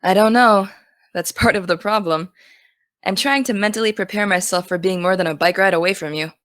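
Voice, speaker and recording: A dry, close voice in an audio drama: a young woman playing an excitable American teenage girl. Her pitch is average for a woman. She talks casually, teasing one moment and earnest the next. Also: brisk, no room sound, close to an everyday voice. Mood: chatty, coaxing. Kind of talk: naturalistic audio drama dialogue.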